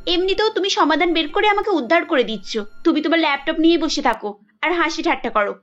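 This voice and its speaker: A woman's voice: extremely high pitch, lively intonation, quick pace, fairly bright tone, highs cut off, soft background music. A woman voicing a young Bengali housewife in a family cartoon, with an emphatic, reproachful way of talking. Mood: angry, sarcastic. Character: young Bengali housewife Kajal, dutiful but quick to sulk and scold.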